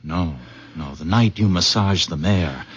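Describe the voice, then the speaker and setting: An actor playing a protective, excitable nephew in a 1970s radio drama, emphatic and urgent. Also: male voice, low voice, measured pace, slightly rough. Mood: probing, amazed. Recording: dull, muffled, dry old radio broadcast.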